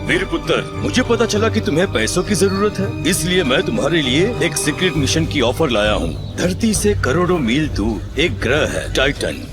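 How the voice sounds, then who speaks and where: A man's voice: on the high side, very animated, normal speed, few pauses, music in the background. A man playing a middle-aged scientist uncle in an audio drama, a guiding mentor who instructs and reassures. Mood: persuasive, grand.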